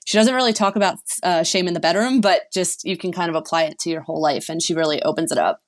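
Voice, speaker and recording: A woman's voice: fairly low pitch, quick pace. A woman relationship coach explaining her work in a casual interview, earnest and explanatory. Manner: earnest, explanatory, uses fillers. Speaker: sex and relationship coach guest.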